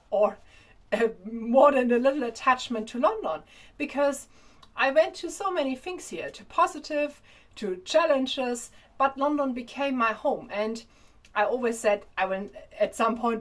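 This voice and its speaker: A woman with a strong German accent talks straight to her viewers in a casual vlog. Her voice sits a little high, and she chats freely, often stumbling, repeating words and restarting her sentences. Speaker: vlogger. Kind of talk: casual talking-to-camera vlog.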